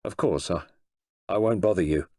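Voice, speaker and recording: A man playing a breezy but proud English gentleman, chatty and polite, in an audiobook story. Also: sits low, normal speed, no room sound. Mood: stiffly formal.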